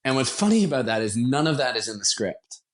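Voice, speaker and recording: A man's voice: medium pitch, very animated, quick pace. A man speaking as an interview guest, explaining his creative work as a radio theatre director in an enthusiastic, conversational way.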